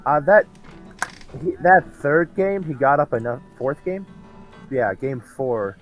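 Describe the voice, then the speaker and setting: A man with a gravelly, hoarse voice from a cold, talking casually and hesitantly about the games he won. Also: medium pitch, expressive, takes it slowly, dull audio, faint music behind. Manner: hesitant, restarts often. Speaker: tournament winner, interviewee. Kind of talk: casual post-match chat.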